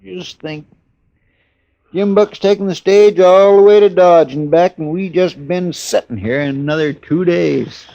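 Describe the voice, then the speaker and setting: A folksy male sidekick with a creaky, rather high voice. He has a plaintive, homespun way of talking, heard on a dull old western radio recording. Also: measured pace, warm tone. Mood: weary, grumbling. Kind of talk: 1950s radio western acting.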